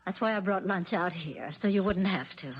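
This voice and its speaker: An actress playing a gentle, uneasy stepmother in a 1970s radio drama, modest and apologetic in her manner. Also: fairly low pitch, normal speed, a little hiss or hum, lightly acted. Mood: modest, agreeable. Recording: muffled vintage radio broadcast recording.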